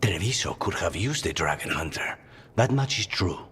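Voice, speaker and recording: A man's voice: low-pitched, lively intonation, normal speed, a bit bright, breathy edge, big-room sound, faint music behind, full character voice. A man playing a grave, dutiful assassin in a fantasy video game. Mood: grave, terse. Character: grave, dutiful male demon-possessed assassin.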